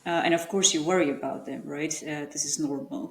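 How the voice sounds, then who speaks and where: A woman hosting a casual interview, asking gentle, empathetic questions. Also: sits low, fast, echoey room, slight background noise. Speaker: host.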